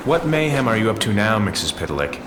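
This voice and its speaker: A man's voice: lowish voice, big pitch swings, fast, noisy background. An actor playing a stern, no-nonsense male superhero in a video game. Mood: wary, stern. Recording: echoey cutscene sound.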